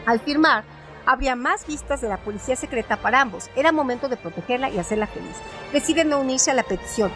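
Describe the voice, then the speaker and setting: A woman hosting a radio show, reading a scripted literary analysis in a measured, formal way. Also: sits high. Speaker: radio host. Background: music bed.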